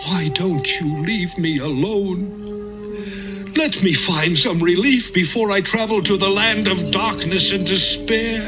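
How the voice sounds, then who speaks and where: An anguished, pleading sufferer, high-pitched. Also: male voice, slow, music in the background. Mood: despairing. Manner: impassioned, lamenting, dramatic delivery. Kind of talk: dramatised Bible audio acting.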